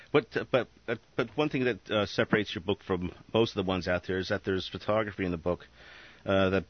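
A man's voice: low-pitched, highs cut off. A man hosting a radio interview, rambling and stumbling over his words as he asks questions. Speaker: radio host.

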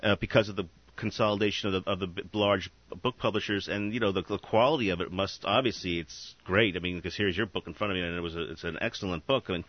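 A man's voice: deep voice, fairly even intonation, fast talker, hardly any pauses, muffled recording. Rambling, stumbling radio host man. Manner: rambling, stumbling over his words. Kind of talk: radio interview.

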